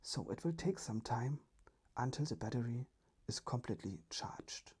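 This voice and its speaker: A storyteller reading a children's story in a hushed, careful ASMR whisper. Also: mid-range voice, animated, moderate pace, breathy edge.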